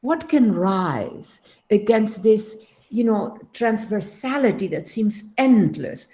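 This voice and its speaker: Older woman musing, lively warm voice. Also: medium pitch, takes it slowly. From Netherlands, raised in Latin America. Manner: thinking aloud, restarts, frequent "you know". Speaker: academic panel speaker. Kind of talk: unscripted academic talk.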